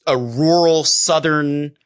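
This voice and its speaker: A middle-aged man with a New York accent, chatting casually as a podcast co-host, pausing and restarting often. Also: on the high side, fairly even intonation, smooth. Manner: halting, many restarts and fillers. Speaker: defense attorney co-host.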